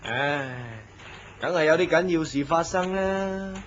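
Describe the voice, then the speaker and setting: A man's voice: a little high, measured pace, close to an everyday voice. A man playing a gossipy office worker. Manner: chatty, gossipy. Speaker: voice actor in a radio drama. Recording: muffled lo-fi recording with loud hiss.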